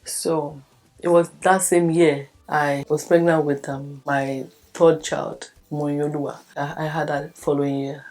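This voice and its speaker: A woman's voice: low-pitched, flat delivery, measured pace, a little mellow. A Nigerian woman in her fifties, a native Yoruba speaker, telling her life story for a documentary and acting out the conversations she recalls. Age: 50s. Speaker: documentary subject. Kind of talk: personal life story told to camera.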